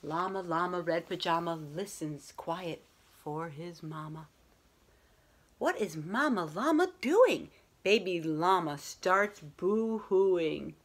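A woman's voice: fairly low pitch, lively intonation, slow pace. A middle-aged woman reading a picture book aloud to children, expressively, drawing out some words. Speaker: read-aloud host. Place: room at home, indoors.

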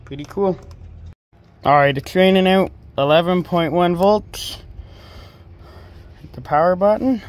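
A man's voice: sits high, a little slow, pauses often. A young man vlogging from his farm workshop, narrating casually in a laid-back, rambling way with plenty of fillers. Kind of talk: casual narration while working.

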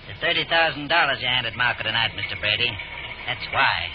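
A man playing a gruff, sarcastic, impatient older police inspector in an old radio drama. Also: mid-range voice, lively intonation, brisk, natural voice. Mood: brisk. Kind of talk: 1940s radio acting. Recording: dull old radio broadcast.

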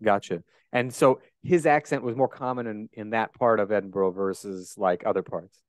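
Chatty American host, Great Plains accent. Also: male voice, on the low side, steady tone, normal speed, no echo. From United States. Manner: curious, chatty, many restarts. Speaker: podcast host. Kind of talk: casual interview chat. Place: bright room at home. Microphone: handheld dynamic mic close up.

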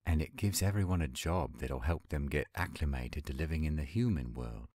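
A man acting an earnest young werewolf pack leader in a roleplay audio drama, speaking in a measured way. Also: very deep voice, lively intonation, darkish tone, no room sound, studio quality. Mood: earnest, hopeful.

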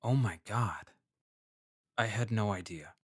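A man playing an earnest freelance journalist in a fiction podcast, measured and tentative as he interviews and narrates. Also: fairly low pitch, dry recording, studio quality. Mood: hesitant, apologetic. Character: earnest, tactful male freelance journalist narrating his investigation. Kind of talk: fiction podcast acting, scripted first-person narration.

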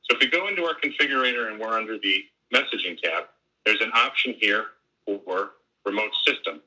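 A man gives a conversational technical walkthrough over a video call. His voice sits slightly low, with an extremely bright, sharp edge. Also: animated, a little fast, rough recording. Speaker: technical specialist giving a demonstration. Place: home room, indoors, on a video call. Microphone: wired earbuds on a laptop video call.

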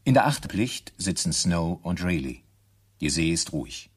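Male narrator for radio drama: very low, husky voice, scripted scene-setting. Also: big pitch swings, a little slow.

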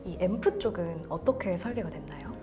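A woman's voice: on the low side, lively intonation, fairly quick, mellow, faint music behind. A woman co-hosting a product review, speaking conversationally with an attentive, enthusiastic manner. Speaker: co-host of audio equipment review.

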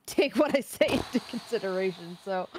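Woman on a stream, animated swooping voice, sarcastic, laughing, teasing back. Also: mid-range voice, a little fast, noisy background. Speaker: co-host on stream. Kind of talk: casual stream chat.

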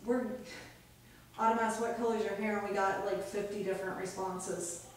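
A woman's voice: mid-range voice, steady tone, moderate pace. A woman chatting casually as a paranormal investigator, picked up by a camcorder some distance away indoors. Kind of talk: casual chat during a ghost investigation. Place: indoor room, away from the camera. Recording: very echoey room, distant mic, faint noise.

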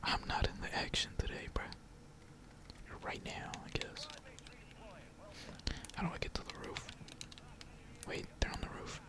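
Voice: unhurried, long pauses. Gamer whispering into a close mic, chill slangy asmr play-by-play, some cussing. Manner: slangy, laid-back, casual swearing. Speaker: gaming streamer. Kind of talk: whispered ASMR gameplay commentary.

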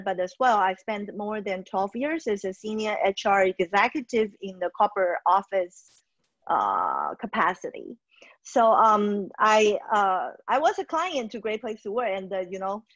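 A woman from Taiwan speaks over a video call as an HR trainer in a webinar, pausing often with fillers and restarting her sentences. The sound is dry and close, with dull, muffled highs. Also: fairly bright tone, no echo. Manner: hesitant, many fillers and restarts. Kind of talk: webinar presentation.

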